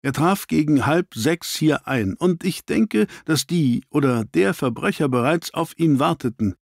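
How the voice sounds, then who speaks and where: Man as gentleman detective, stern, clipped orders, audiobook crime story, very dry recording. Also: on the high side, animated, a little slow, gravelly, no room sound, pitched above the performer's own voice, full character voice. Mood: angry, self-reproachful. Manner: terse, commanding.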